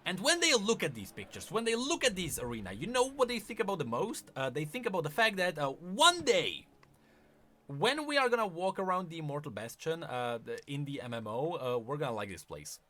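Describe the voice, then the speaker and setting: A man's voice: a little high, very animated, fairly quick, bright, forceful tone. A man narrating casual video commentary on game lore, emphatic and opinionated in his delivery.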